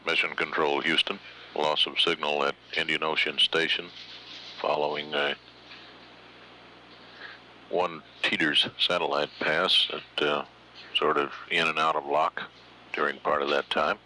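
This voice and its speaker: A man giving formal mission control status announcements in measured, halting phrases. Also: extremely low pitch, level tone, bright, forceful tone, slight background noise, low-quality recording.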